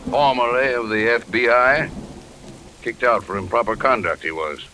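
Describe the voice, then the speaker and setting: Guy acting a calm dry federal agent, medium voice, very noisy old radio drama. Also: medium pitch, normal speed, natural voice. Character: unflappable married male FBI agent. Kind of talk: 1940s radio thriller acting.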